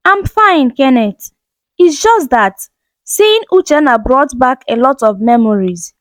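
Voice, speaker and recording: A woman voicing a loyal young woman in a story, earnest and expressive. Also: high voice, a little slow, dry recording. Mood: tender. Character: gentle young woman, loyal, firm when threatened.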